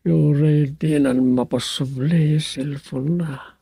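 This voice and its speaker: A man acting a village fortune-teller in an Ilocano radio comedy drama, with drawn-out, moaning delivery. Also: a little high, steady tone, gravelly, warm tone, breathy edge, no room sound, studio quality, exaggerated voice. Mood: muttering.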